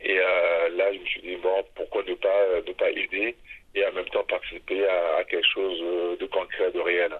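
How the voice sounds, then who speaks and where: Very deep husky man, phone-like. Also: level tone. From Congo. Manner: halting, searching for words. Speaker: illustrator, artist. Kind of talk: personal interview testimony. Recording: narrow, phone-like muffled sound.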